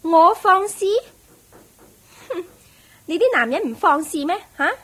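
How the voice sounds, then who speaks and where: An actress playing a brazen, world-weary courtesan in a Cantonese radio drama, teasing and mocking with a Hong Kong accent. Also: female voice, very high voice, lively intonation, unhurried, a bit bright, heavily acted.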